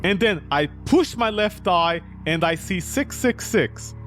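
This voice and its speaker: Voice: medium pitch, lively intonation, unhurried, a bit bright. A game streamer reading a visual novel aloud and reacting to it in an exasperated, incredulous, theatrical way. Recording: background music under the voice. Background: music.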